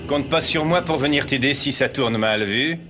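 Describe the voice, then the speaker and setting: A man's voice: mid-range voice, normal speed, over loud music, close to an everyday voice. An actor playing a sly, easygoing male fighter who teases while probing for information, in a French anime dub. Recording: noisy background.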